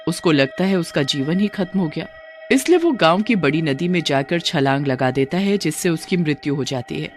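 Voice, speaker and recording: Lively higher-pitched male story narrator. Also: normal speed, faint music behind.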